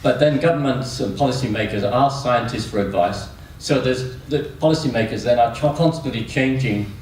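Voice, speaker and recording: A man's voice: medium pitch, normal speed. A man giving a spontaneous, reflective comment from the audience at a meeting. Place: large echoey room at a meeting. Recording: echoey hall, distant mic, faint noise.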